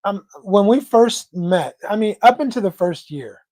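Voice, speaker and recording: A man's voice: high-pitched, expressive, a little slow, a little mellow, no echo. An American man co-hosting a livestream, chatting casually in slangy talk and reading out viewer comments. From United States. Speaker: livestream co-host. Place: indoor home livestream.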